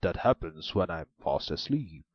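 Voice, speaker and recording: A huge, gruff ogre booms out his words, slow and suspicious, as a man puts him on for a storybook reading. The voice sits low and a little husky. The recording is dull and dry. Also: big pitch swings, dry recording. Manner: loud, booming, suspicious.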